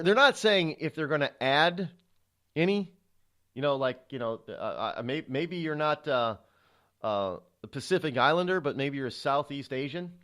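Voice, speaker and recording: Man, talk radio host, indignant, rhetorical, lively pitch, fairly high. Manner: indignant, rhetorical, with many stumbles and fillers. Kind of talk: opinionated talk radio monologue.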